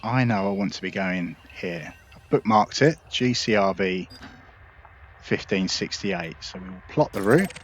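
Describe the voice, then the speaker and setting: A man hosting a casual gameplay tutorial, relaxed and explanatory, talking viewers through each step. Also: sits low, a little slow, a little hiss or hum. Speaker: gaming video host.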